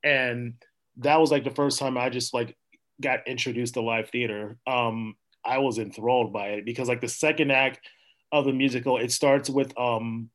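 A man's voice: mid-range voice, fairly even intonation, normal speed, fairly bright tone, rough recording. A young man from Minnesota, an actor and playwright, chatting as a podcast guest in a rambling way with many fillers and laughs. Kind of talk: casual interview chat.

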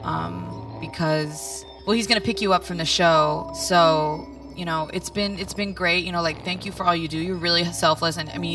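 A woman's voice: deep voice, recorded from a distance. A woman improvising comedy, talking in a rambling, apologetic way.

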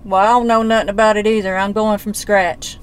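A woman's voice: mid-range voice, flat delivery, fairly quick, a little hiss or hum. Blunt woman in her forties. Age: 40s. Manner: blunt, folksy, persistent questioning. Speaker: relative investigating a disappearance. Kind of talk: casual phone conversation.

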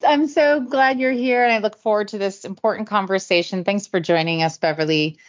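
A middle-aged woman hosting a podcast interview, asking thoughtful questions in a measured way. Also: medium pitch. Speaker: podcast host. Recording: muffled lo-fi audio.

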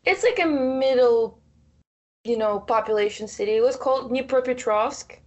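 A woman's voice: fairly high pitch, moderate pace, dull audio. Playful young woman. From Ukraine. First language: Russian. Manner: playful, laughs often, searches for words. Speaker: podcast guest. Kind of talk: casual chat.

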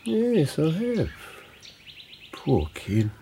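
A man's voice: on the high side, huge pitch swings, extremely slow, dark tone, a little hiss or hum, full character voice. An actor playing a worried, gullible older grandfather in a comic audio drama, earnest, blunt and a little hesitant.

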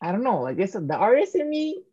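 A woman's voice: mid-range voice, big pitch swings, fast, dark tone, slightly rough, muffled recording. A woman in her thirties, a podcast guest talking candidly and joking about herself in casual chat. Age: 30s. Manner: candid, self-mocking, jokey.

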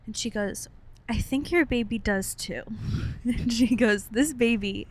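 A woman's voice: fairly high pitch, unhurried, darkish tone, slight background noise. A young American woman co-hosting a podcast, telling personal stories in an informal, chatty way with playful wording. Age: young adult. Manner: informal, chatty, uses playful made-up words. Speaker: podcast co-host. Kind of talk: casual chat, personal storytelling.

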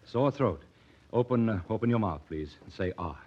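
A man's voice: fairly low pitch, expressive, moderate pace, noisy background, close to an everyday voice. An actor playing an earnest, awkward young male doctor in a vintage radio drama, with a hesitant, formally polite delivery. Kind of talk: 1940s radio drama acting. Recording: dull, muffled vintage radio recording.

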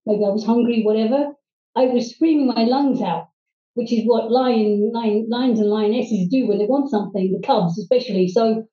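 Distant middle-aged woman, halting. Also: a little high, dark tone. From Hong Kong. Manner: earnest, halting with many ums and restarts. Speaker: guest, private English teacher. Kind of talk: personal storytelling in interview. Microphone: video call. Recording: distant, roomy, dull video-call audio.

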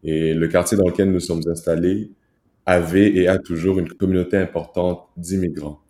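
A young man in his twenties, originally from Rwanda, speaks as an interview guest about his own experience. His voice is very low, dark and level, calm and earnest with small hesitations, and it rings out in an echoey room. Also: moderate pace, a little hiss or hum. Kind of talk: personal testimony in an interview.

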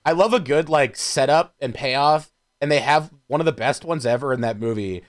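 A man in casual, rambling conversation on a film podcast, speaking in an animated, unpolished storytelling way. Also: mid-range voice, normal speed. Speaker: podcast panelist discussing films. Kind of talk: casual chat, profane.

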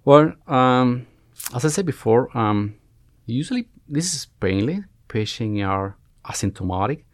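A man in his forties with a Cuban Spanish accent, a resident doctor being interviewed on a podcast, who often pauses and restarts as he searches for words. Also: mid-range voice, lively intonation, no echo, studio quality. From Cuba. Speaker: guest, family medicine resident.

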